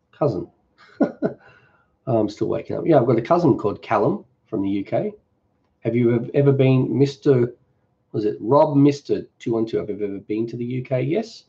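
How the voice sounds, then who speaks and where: Mellow, easygoing Australian man. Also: mid-range voice, measured pace, long pauses, highs cut off. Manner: relaxed, rambling, joking. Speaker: cooking channel host. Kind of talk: casual live-stream Q&A.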